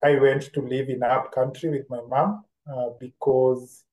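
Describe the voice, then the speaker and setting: A man with a Kenyan accent telling his story as an interview guest, deliberate and reflective, often repeating phrases. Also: medium pitch, level tone, darkish tone, muffled recording. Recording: roomy, echoing sound.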